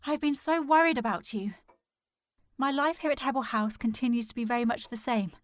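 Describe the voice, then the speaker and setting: A woman playing a distressed, determined young gentlewoman in a period audio drama, speaking formally and earnestly. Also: fairly high pitch, fairly quick, no room sound, studio quality, close to an everyday voice. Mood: sad, hushed reading. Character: distressed, determined young gentlewoman searching for her missing sister.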